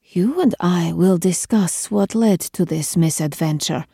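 A woman's voice: on the low side, a little slow, vocal fry, dark tone, breathy edge, no echo, studio quality, exaggerated voice. A woman voicing a stern, imperious queen in an audiobook story, cold, clipped and commanding. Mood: sharp, commanding. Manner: clipped, authoritative, scolding.

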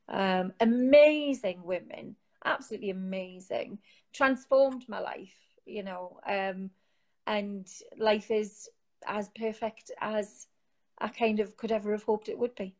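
A woman with a Geordie accent, a guest on a live interview, talking casually from her sofa on a phone, rambling with many fillers and restarts. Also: medium pitch. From Newcastle, England. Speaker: guest, founder of an online support group. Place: home, sitting on a sofa.

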